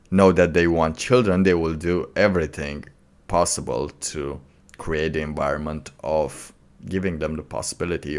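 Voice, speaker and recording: Slow, very low-voiced man. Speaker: streamer reacting to a video. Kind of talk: casual off-the-cuff commentary.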